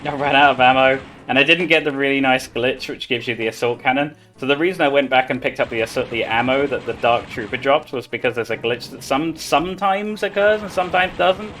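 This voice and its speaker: A man with a mid-pitched voice plays a game and explains it live. His delivery is casual and chatty, like a speedrunner talking to viewers, with faint music behind him. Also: brisk, few pauses, fairly bright tone. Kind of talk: live explanation while playing.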